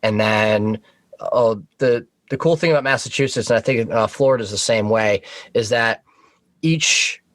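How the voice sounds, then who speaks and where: A man's voice: mid-range voice, unhurried, fairly bright tone, no room sound. A man chatting informally as a podcast guest, telling work stories and swearing casually. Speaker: podcast guest, Coast Guard boat operator.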